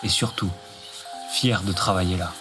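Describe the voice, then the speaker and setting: A man's voice: low-pitched, fairly even intonation, normal speed. A man delivering a scripted, poetic radio monologue with music behind him. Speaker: narrator.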